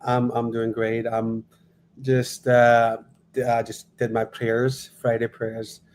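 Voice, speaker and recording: Pakistani male interviewer, flat intonation, polite and casual, slight background noise. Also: medium pitch, slow. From Lahore, Pakistan. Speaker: guest acting as interviewer.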